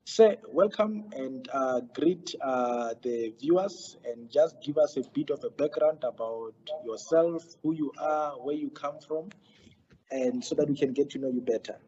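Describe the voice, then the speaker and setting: A man's voice: mid-range voice, a little slow, dark tone, husky, highs cut off. A man hosting an interview, polite and formal, with frequent hesitations. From South Africa. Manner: polite, formal, many fillers. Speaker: interview host.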